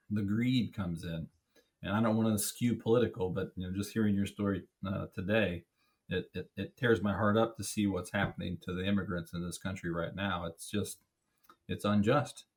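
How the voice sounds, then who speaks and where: A man's voice: lowish voice, steady tone, moderate pace. A man hosting a podcast interview, asking questions in a conversational, reflective, somewhat hesitant way. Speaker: podcast host.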